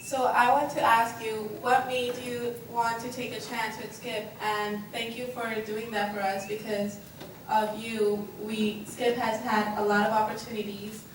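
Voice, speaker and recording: A young woman, a student, politely putting a question to a guest at a live event. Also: on the high side. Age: young adult. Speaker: student audience member asking a question. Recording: echoey room, distant mic, faint noise.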